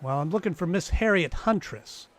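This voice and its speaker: A man's voice: high-pitched, animated, moderate pace, fairly bright tone. A man playing a wisecracking, dryly sardonic private eye in an old-time radio detective play. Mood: wry, brash. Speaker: actor in live radio play, also narrating.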